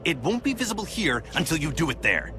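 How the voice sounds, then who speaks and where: An actor playing an impatient scientist hero, brusque and sardonic, guiding a partner in a video game. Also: male voice, a little high, sing-song, brisk. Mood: impatient, explanatory. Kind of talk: animated video game acting. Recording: loud game music behind.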